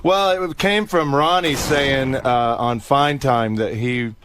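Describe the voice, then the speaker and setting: A man's voice: medium pitch, takes it slowly, background noise. A man in casual comic banter, playing along with the bit in a jokey way. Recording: chattering crowd behind.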